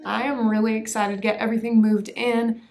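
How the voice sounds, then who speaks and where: A young woman giving a chatty, excited tour of an empty apartment, filming herself with a handheld camera. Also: a little high, a little fast. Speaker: vlogger. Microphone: handheld camera at arm's length. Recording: echoey empty room with faint music.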